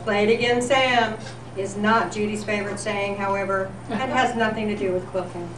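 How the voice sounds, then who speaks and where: A woman's voice: medium pitch, steady tone, moderate pace. A woman hosting a live show, reading scripted announcements in the style of an old-time radio newscast. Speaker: host of a live radio-themed tribute show. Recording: distant echoey live event, noisy.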